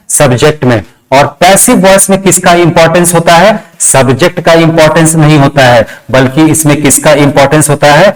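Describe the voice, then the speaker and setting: A man's voice: on the high side, low-quality recording. A man teaching in a classroom lecture style, repeating key phrases and asking rhetorical questions in a didactic way. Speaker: English grammar teacher.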